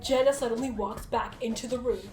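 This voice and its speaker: High-pitched woman narrating, echoey room. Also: steady tone, brisk. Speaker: narrator of a group dramatic reading. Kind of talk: amused amateur narration of a comic story. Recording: roomy echo, faint music behind.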